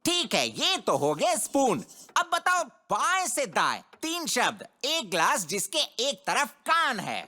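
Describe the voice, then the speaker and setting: A high, piercingly bright little woodpecker chirps eagerly in a children's cartoon. The delivery is exclamatory and sing-song, with big swings in pitch. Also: female voice, huge pitch swings, takes it slowly, faint music behind, full character voice. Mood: quizzing.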